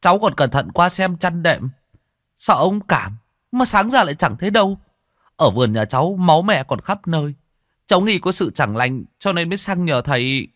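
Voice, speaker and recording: A man's voice: on the high side, very animated, moderate pace, fairly bright tone, dry recording, clean studio sound, pitched above the performer's own voice. A man voicing a panicked young village man who pleads breathlessly for help.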